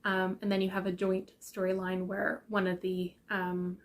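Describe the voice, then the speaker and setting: A young adult woman with a congested, croaky voice from a sinus infection, chatting casually about books with many ums and self-corrections. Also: medium pitch, level tone, moderate pace, a little hiss or hum. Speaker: book vlogger. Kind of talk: casual book chat.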